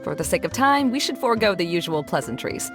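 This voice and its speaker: A woman voicing a poised, blunt scholar who speaks in a brisk, cool, businesslike manner, in a game cutscene. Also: on the low side, very animated, music in the background.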